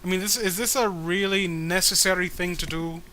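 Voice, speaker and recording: Casting: whiny, unwilling spirit sidekick played by a man, high sharp voice, stammering pleas, comic read-aloud. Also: fairly even intonation, moderate pace. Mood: pleading. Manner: hesitant, whiny pleading. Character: reluctant, pleading dog-spirit sidekick, sick of its master. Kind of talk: comic dramatic reading of a webcomic.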